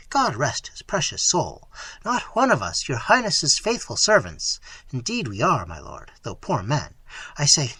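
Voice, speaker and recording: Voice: medium pitch, a bit bright, husky, no room sound, exaggerated voice. Narrator as a flustered, frightened castle servant. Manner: flustered, wordy, pleading, archaic. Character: frightened, rambling, deferential male castle servant.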